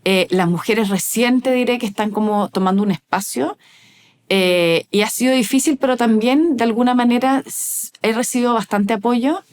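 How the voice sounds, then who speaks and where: Woman talking with frequent pauses. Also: fairly high pitch. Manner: thoughtful, hesitant, many "eh" fillers and restarts. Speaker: neuroscience researcher guest. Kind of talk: casual interview conversation.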